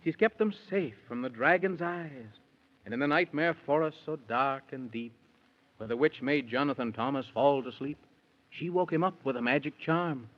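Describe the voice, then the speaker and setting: A man's voice: mid-range voice, normal speed, lightly acted. Man playing a genial storyteller, rhymed verse, children's 1930s radio, lo-fi muffled recording. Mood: storytelling. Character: genial rhyming storybook narrator. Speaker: storyteller narrator.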